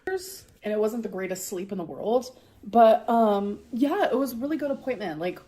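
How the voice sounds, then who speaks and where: A woman's voice: a little high, normal speed, mellow. A woman talking to her camera in a chatty, gushing vlog style, full of fillers. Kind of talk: casual vlog talk to camera.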